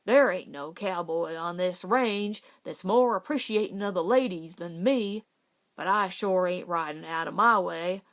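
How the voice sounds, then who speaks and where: Woman acting a loud, indignant old cowboy. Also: fairly low pitch, measured pace. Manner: loud, blustering, folksy Western speech. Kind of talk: audiobook character voice.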